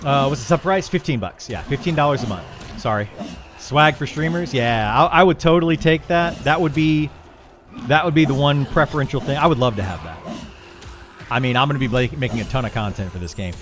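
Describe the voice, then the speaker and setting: A man's voice: mid-range voice, a little fast, over music. A middle-aged man, a video game streamer, talking casually and a bit ramblingly as he answers viewers on a livestream. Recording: clear background noise and ambience.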